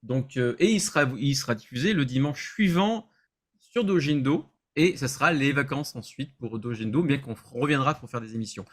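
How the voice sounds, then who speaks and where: A man's voice: mid-range voice, expressive, normal speed. A man chatting casually as a show regular, explaining things in a loose, self-correcting way. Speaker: show regular announcing upcoming appearances. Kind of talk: casual chat.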